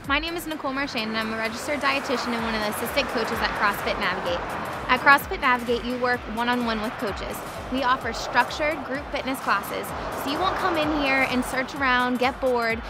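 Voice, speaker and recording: A young woman, a dietitian and assistant coach, speaking upbeat and brisk outdoors by a gym. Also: on the high side. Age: young adult. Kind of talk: promotional interview. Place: outdoors by a gym garage door. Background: music.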